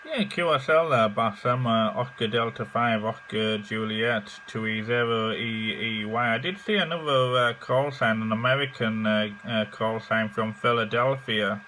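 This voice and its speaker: A man chatting casually as a radio amateur in short, halting phrases. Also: medium pitch, sharp tone. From England. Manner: hesitant, halting delivery. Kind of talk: casual ham radio chat. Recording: faint noise and electrical hum.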